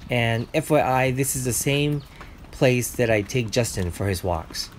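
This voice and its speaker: A man's voice: medium pitch, lively intonation, a little slow, a little hiss or hum. A man in his forties narrating a casual vlog, explaining food and his neighborhood to viewers in a chatty way. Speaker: vlogger.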